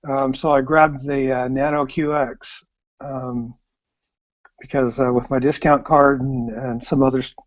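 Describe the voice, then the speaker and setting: A man's voice: mid-range voice, flat delivery, a little slow. A man with an American accent, a guest on an online call, talking in an easygoing, rambling way. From United States. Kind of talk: casual chat. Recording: dull lo-fi call audio.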